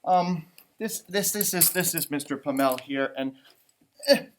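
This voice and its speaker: A man hosting a storytime for children, reading and chatting in a theatrical, halting, conversational way. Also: fairly high pitch, slight background noise. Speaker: storytime host and teacher. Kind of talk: children's storybook read-aloud with chatty asides.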